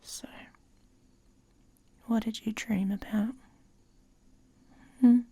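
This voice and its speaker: A woman playing a dominant, teasing but protective female werewolf in intimate ASMR roleplay, in a breathy, husky voice that often drops to a whisper. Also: on the high side, steady tone, dark tone, heavily acted. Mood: teasing, commanding. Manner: slow, teasing, commanding.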